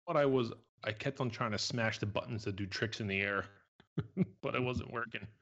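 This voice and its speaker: A man's voice: lowish voice, moderate pace, a bit bright, lo-fi sound. Playful man trading banter on a podcast. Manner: playful, mock-tough banter. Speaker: podcast co-host. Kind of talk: casual chat.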